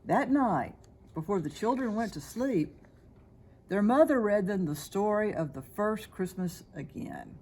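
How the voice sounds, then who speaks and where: A woman reading a children's story aloud in an expressive, scripted narrating style. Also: mid-range voice, a little slow, breathy edge. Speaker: storybook reader.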